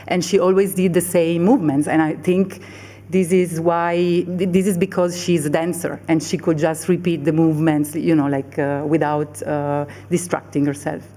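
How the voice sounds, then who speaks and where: A woman's voice: deep voice, warm tone, a little hiss or hum. A woman film director answering panel questions, hesitant with many fillers and restarts.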